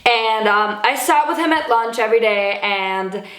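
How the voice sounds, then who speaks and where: A young woman from Sweden rambles through a casual storytime, packed with likes and casual swearing. Her voice sits a little high. The room gives the recording a noticeable echo. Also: animated, unhurried, noisy background. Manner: chatty, swears casually, lots of likes and fillers. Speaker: YouTuber.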